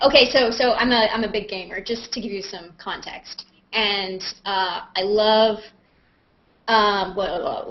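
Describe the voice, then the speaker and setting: A woman with a fairly high voice speaks through slightly dull, lo-fi webcam audio. She talks casually and digressively, thinking aloud and poking fun at herself as she hosts a live question session. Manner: self-mocking, giggly, digressive, thinking aloud. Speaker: actress and vlogger hosting a live Q&A. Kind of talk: casual rambling chat, answering questions on a livestream. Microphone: video call on a computer.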